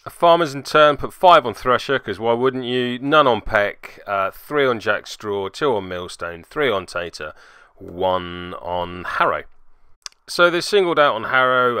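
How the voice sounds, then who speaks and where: A man's voice: medium pitch, pushed and bright, smooth, no room sound, clean studio sound. A man giving casual commentary as host of a tabletop game report, with a wry, self-deprecating manner.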